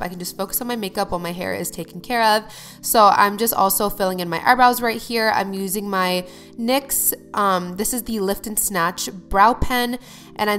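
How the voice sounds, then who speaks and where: A woman's voice: medium pitch, fairly bright tone. A young adult woman narrating her makeup routine to viewers in an upbeat, chatty way, sounding a little nasal from a cold. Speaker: beauty vlogger. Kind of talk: get-ready-with-me voice-over.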